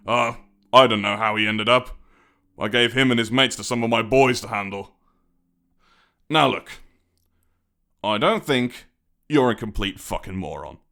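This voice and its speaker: A man's voice: fairly low pitch, unhurried, vocal fry, long pauses, pushed and bright, full character voice. A man playing a menacing, mocking British crime boss in an audio roleplay, with a taunting, conversational way of threatening. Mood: taunting menace. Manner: taunting, theatrical, conversational threats with sardonic chuckles. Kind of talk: dramatic audio roleplay monologue.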